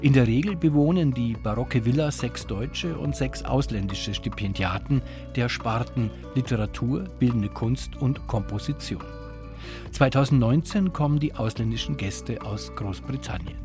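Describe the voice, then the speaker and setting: Male radio interviewer with music behind. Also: medium pitch. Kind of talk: scripted radio narration and interview questions.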